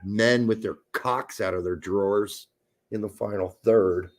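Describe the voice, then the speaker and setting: A man co-hosting a casual live match watch-along, mixing crude jokes with excited play-by-play calls. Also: on the low side, measured pace.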